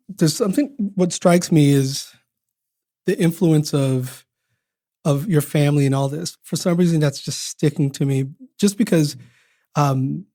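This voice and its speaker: A man's voice: on the high side, warm tone, no room sound, studio quality. A man hosting a podcast, reflecting on his guest's story in a casual, thoughtful way and repeating words as he goes. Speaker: podcast host.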